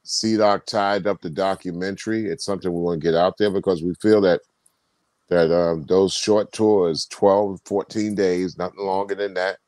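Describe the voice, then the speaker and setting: A man co-hosting a casual video call chat, talking in a rambling, animated way with many restarts. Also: low voice, moderate pace, no room sound. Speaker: tour promoter and co-host.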